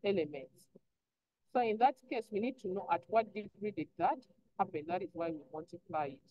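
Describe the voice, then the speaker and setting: A woman teaches an online class in an instructive way, explaining step by step at a medium pitch. She poses short prompting questions and answers them herself. The audio is dull and lo-fi. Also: brisk. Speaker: chemistry teacher.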